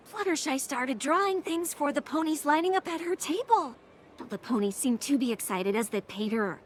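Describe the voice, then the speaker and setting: A woman's voice: fairly high pitch, a bit bright. A synthetic text-to-speech woman's voice narrating a scripted story. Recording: faint background noise.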